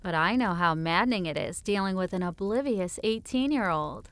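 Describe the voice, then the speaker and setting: A playful, affectionate wife acted by a woman in audiobook dialogue. Her voice is smooth and clear with animated, swooping intonation, natural and gently teasing. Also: medium pitch, normal speed. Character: teasing, affectionate wife and author in cozy conversation.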